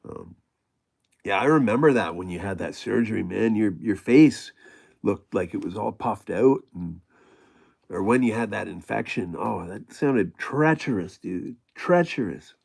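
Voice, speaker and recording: A middle-aged man with a gravelly voice, hosting a casual livestream and chatting with viewers in a rambling way full of fillers and restarts. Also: on the low side, animated, a little slow, a little mellow. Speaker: livestream host reading chat.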